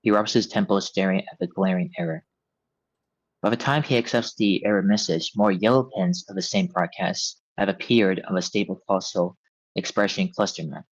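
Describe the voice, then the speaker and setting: Low-voiced man narrating, dull sound. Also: moderate pace. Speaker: script narrator. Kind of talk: reading stage directions at a table read. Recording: dull, band-limited audio.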